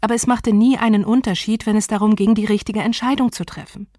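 Calm woman narrating an audiobook. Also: medium pitch, quick pace, no echo, studio quality. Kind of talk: scripted narration.

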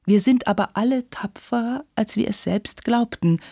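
A frail old countess from a literary story, voiced by a woman narrator. She speaks softly and wearily, with patient, gentle care, in a low, mellow voice with some huskiness. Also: measured pace, slightly rough, dry recording, clean studio sound, full character voice. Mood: weary, patient. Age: older. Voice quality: weary, soft.

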